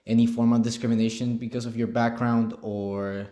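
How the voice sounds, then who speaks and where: A man's voice: medium pitch, level tone, normal speed, warm tone. A young man interviewing for a class project, casual, with frequent likes and restarts. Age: young adult. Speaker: student interviewer.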